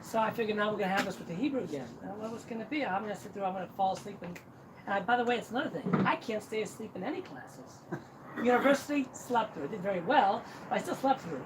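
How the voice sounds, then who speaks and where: A man's voice: high voice, expressive, fast. A middle-aged man from Ohio telling a self-deprecating, joking personal story to a crowd. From Cincinnati, Ohio, US. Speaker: speaker at a celebration. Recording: distant mic, noisy hall.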